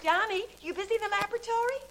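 A woman's voice: extremely high pitch, very fast, a bit bright. A woman playing an amiable, polite young lady in a 1940s radio drama. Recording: noisy 1940s radio broadcast recording.

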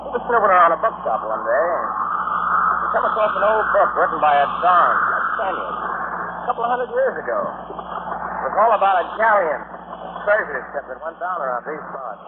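An actor playing a gruff, plain-spoken, hearty sea captain in 1940s radio drama style. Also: male voice, fairly high pitch, big pitch swings, moderate pace. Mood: storytelling. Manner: colloquial, blustery, plain-spoken. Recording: noisy, tinny 1940s radio recording.

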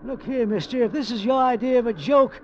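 An actor playing a touchy, suspicious old island drifter with a folksy, uneducated drawl in vintage radio drama. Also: male voice, very high-pitched, a little fast. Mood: touchy, irritated. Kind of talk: 1940s-50s radio drama acting. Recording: muffled, noisy vintage radio recording.

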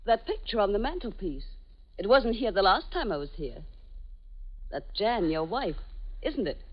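A jealous nightclub singer. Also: female voice, medium pitch, sing-song, moderate pace. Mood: flirtatious. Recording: dull old radio broadcast, music behind.

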